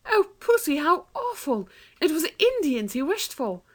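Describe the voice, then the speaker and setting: A woman doing an urgent, excitable girl. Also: high-pitched, measured pace, pitched above the performer's own voice. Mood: anxious. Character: anxious, bossy, quick-witted young girl. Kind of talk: storybook reading.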